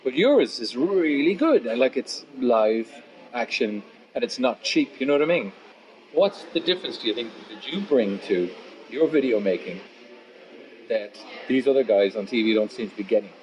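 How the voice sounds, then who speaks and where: A man of fifty talks in a casual, philosophical interview. His manner is blunt and sweary, wandering off into animated asides. His mid-pitched voice is caught on a dull, lo-fi recording. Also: moderate pace, a little hiss or hum. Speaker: musician and guitar teacher being interviewed.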